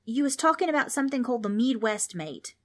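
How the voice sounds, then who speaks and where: A woman's voice: a little high, expressive, quick pace, fairly bright tone, pitched above the performer's own voice. A woman voicing an easygoing, chatty Australian boy with matey slang in a children's audiobook. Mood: eager chatter.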